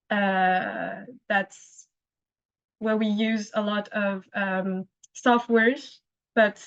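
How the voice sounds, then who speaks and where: A woman's voice: fairly high pitch, no echo. A young woman, a master's student, describing her studies in a hesitant casual way with many fillers. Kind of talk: casual webinar talk. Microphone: laptop mic on video call. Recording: dull lo-fi call audio.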